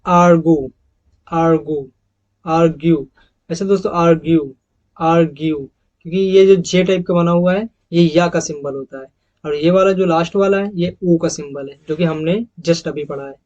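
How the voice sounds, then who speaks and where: A man's voice: on the high side, darkish tone. Indian man teaching pronunciation, dull recording. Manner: repeats model words slowly, addresses viewers as friends. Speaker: English teacher. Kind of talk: phonetics lesson over slides.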